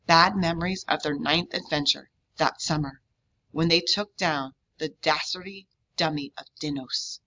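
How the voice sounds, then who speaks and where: Bright-voiced woman reading a children's story aloud. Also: sits low, measured pace. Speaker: read-aloud reader of a children's novel. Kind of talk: expressive storybook narration. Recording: dull, lo-fi sound.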